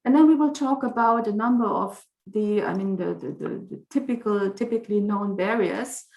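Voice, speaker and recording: A woman chairs an online panel, introducing guests and laying out the agenda in a formal, measured manner. Her voice sits mid-range and comes through a video call with a noticeable echo of the room around her. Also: mid-range voice, gravelly, darkish tone. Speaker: moderator of an online debate. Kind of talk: formal event moderation.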